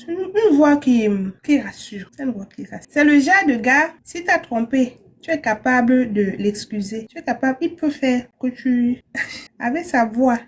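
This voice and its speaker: A woman's voice: a little high, a little mellow, a little hiss or hum. A woman from Brooklyn hosting a casual live call-in stream, chatty and teasing as she gives advice. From USA (Brooklyn, New York). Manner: chatty, teasing, laughs often. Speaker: live stream host giving visa advice.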